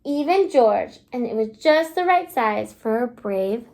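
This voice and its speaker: A woman kindergarten teacher reading a storybook aloud to young children in an exaggerated, child-directed way, pausing to ask simple questions. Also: a little high, lively intonation, darkish tone. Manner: asks simple questions, pausing as if listeners answer.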